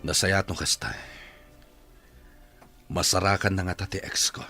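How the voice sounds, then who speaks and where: A man's voice: low-pitched, fairly even intonation, measured pace, bright, forceful tone, breathy edge, no echo, soft background music, heavily acted. A man acting in a radio drama as a lovelorn man searching for his former sweetheart. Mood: wistful.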